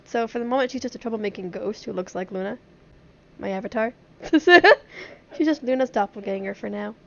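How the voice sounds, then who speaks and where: A woman's voice: fairly high pitch, normal speed, creaky. A woman live streamer chatting casually over a game, giggly and rambling. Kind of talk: casual livestream chat while gaming. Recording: dull lo-fi stream microphone.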